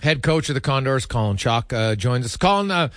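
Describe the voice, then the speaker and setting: A man's voice: medium pitch, lively intonation, fairly quick, a bit bright, no room sound, clean studio sound. A man hosting a sports radio interview in a conversational style, thinking aloud as he builds his questions.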